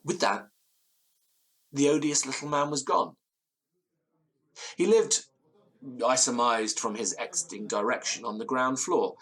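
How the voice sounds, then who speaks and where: An actor playing a pompous, excitable gentleman who speaks in florid, theatrical language in an audio drama. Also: medium pitch, a little slow, pauses often. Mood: dramatic narrating. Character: pompous, excitable Victorian-style gentleman storyteller. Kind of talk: dramatic first-person storytelling in audio drama.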